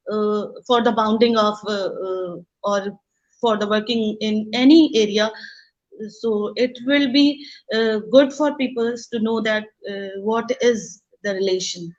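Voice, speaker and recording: A woman with a Pakistani accent interviewing someone, asking unscripted questions in a hesitant, halting way over a lo-fi online connection. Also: fairly high pitch. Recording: dull, lo-fi online call audio.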